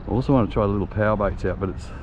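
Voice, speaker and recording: A man's voice: lowish voice, racing. Australian man chatting through wind noise. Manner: chatty, muttering to himself. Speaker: fishing vlogger. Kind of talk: casual narration while fishing. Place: outdoors on a windy harbour shore. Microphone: body-worn action camera. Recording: wind-buffeted, slightly dull camera mic.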